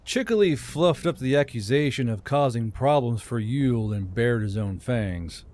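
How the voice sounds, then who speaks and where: A man's voice: medium pitch, expressive, a little slow, darkish tone. A man narrating a fantasy story as an audiobook, as the host of his own channel. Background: background music.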